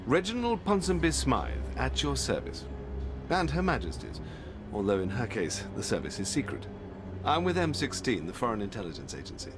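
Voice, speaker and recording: An actor playing a smug, pompous and very polite British secret agent in a video game. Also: male voice, medium pitch, big pitch swings, over music, lightly acted. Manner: pompous, courteous, measured. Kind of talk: video game cutscene acting.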